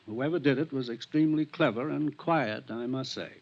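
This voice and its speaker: A man's voice: medium pitch, normal speed, creaky. A man acting in a 1950s radio drama as a wealthy, genteel, evasive homeowner, speaking in a polite, formal, slightly haughty way. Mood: guarded, courteous. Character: genteel, wealthy, evasive male mansion owner. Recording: muffled 1950s radio recording.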